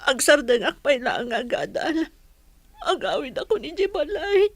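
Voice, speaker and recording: A woman's voice: sits high, big pitch swings, unhurried, dry recording, full character voice. A woman playing a hurt, betrayed young student in a radio drama, pleading emotionally with a Filipino accent. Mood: sad, resigned. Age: young adult.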